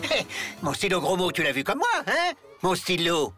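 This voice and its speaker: An actor voicing a suspicious, jittery school caretaker in a French cartoon. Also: male voice, sits high, wildly swooping pitch, moderate pace, exaggerated voice. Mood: flustered. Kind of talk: French animated series dubbing. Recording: loud music behind the voice.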